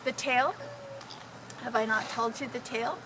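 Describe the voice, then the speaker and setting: A woman telling personal stories informally to a group, in an animated, dramatic manner. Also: fairly high pitch, normal speed, a little hiss or hum, low-quality recording. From Canada. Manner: animated, dramatic, acting out exclamations. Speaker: storyteller.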